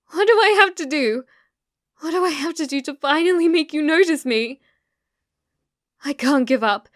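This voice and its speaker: A woman's voice: sits high, no echo. A British woman playing an obsessive, delusional young female stalker in an ASMR roleplay, intimate and sweetly menacing, close to the microphone. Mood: desperate pleading. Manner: intimate, unhinged, swinging between whispers and intense pleading.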